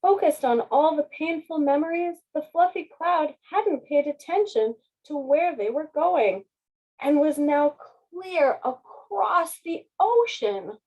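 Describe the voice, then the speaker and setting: A woman's voice: high voice, expressive, slow, a little mellow, rough recording. A woman, a children's author, speaking at a public reading of a picture book. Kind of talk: slow storybook narration.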